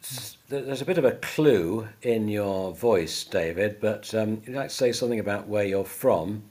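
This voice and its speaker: A man acting as interviewer in an informal church conversation, explaining things in a slightly halting way. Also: on the low side, a little mellow.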